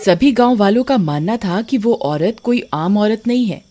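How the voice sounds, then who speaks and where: A woman's voice: mid-range voice, animated, moderate pace, warm tone, soft background music. A woman reading a scripted horror story as its narrator.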